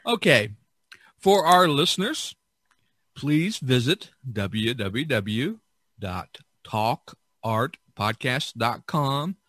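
A middle-aged American man hosts a podcast in casual talk. His voice is bright and forward, recorded close and dry. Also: medium pitch, sing-song, extremely slow, dry recording. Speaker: podcast host.

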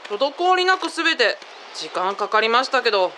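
Casting: woman as capable junior reporting in, high bright voice, polite, faint noise behind, audio drama. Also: big pitch swings, normal speed. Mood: cheerful, polite.

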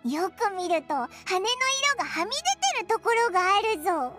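A woman voicing a small, cheerful, cheeky fairy companion in a Japanese video game, with a squeaky, childlike, boyish way of talking. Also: lively intonation, normal speed, over music. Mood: curious. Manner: boyish, informal, exclamatory.

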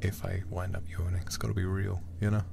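A man streaming a game in ASMR style, murmuring in a hushed, breathy, gravelly whisper close to the microphone. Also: low voice, flat delivery, fairly quick, background noise. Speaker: livestream host, gamer.

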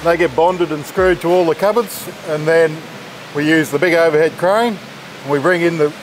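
A factory bay leader in his forties walks through his process in plain, practical words. His voice sits a little high, with faint hiss and vehicle noise in the background. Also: steady tone, poor audio. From Australia. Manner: plain, practical, halting explanations. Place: large indoor factory floor.

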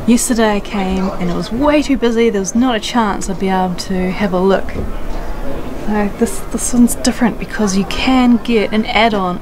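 A woman in her thirties filming herself with a handheld camera as she tours caravans, chatting casually and thinking aloud. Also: medium pitch. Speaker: vlogger. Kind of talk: casual walkthrough commentary. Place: busy indoor expo hall, inside caravans. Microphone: handheld camera at arm's length. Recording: loud crowded expo hall. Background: loud crowd and hall noise.

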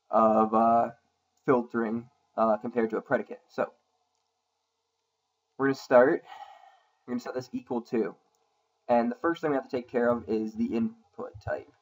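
A man hosting a screen-recorded programming tutorial, explaining code in a casual way and thinking aloud with frequent ums, his voice a little rough from a sore throat. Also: medium pitch, steady tone, normal speed, pauses often, lo-fi sound, a little hiss or hum. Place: at a computer, voice-over on screen capture.

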